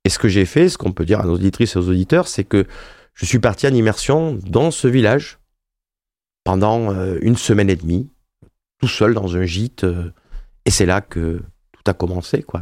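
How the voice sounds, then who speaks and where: Hesitant low-voiced man in his fifties. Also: moderate pace, a little mellow, no room sound, studio quality. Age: 50s. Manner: hesitant, many fillers and restarts. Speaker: author and wine journalist. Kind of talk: radio interview.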